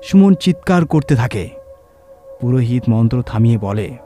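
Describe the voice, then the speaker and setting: A man narrating a scripted horror story in a measured, suspenseful, dramatic storytelling manner. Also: medium pitch, lively intonation, mellow. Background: music.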